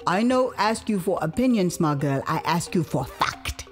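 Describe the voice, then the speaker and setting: A woman plays a sly Liberian customs officer in an audio drama. He speaks slowly and deliberately with a Liberian accent, doubtful of everything and quietly angling for a favour. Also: lowish voice, lively intonation, soft background music, close to an everyday voice. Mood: suspicious, stern. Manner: slow, deliberate speech. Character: skeptical, sly Liberian male customs official fishing for bribe.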